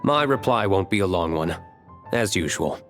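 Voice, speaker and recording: A stern young nobleman for a game; low, clipped, serious, never warm. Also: lively intonation, soft background music. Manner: curt, serious, measured. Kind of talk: video game voice acting.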